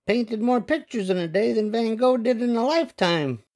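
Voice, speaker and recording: An older man voicing restless, imaginative young boys in an expressive, dramatic storybook read-aloud. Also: very high voice, normal speed. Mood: bored. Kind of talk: animated storybook read-aloud with character voices.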